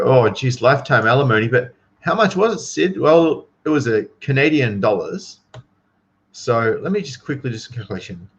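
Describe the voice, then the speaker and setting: A man's voice: mid-range voice, highs cut off. A man giving casual, opinionated commentary to livestream viewers in an incredulous, emphatic, slangy manner.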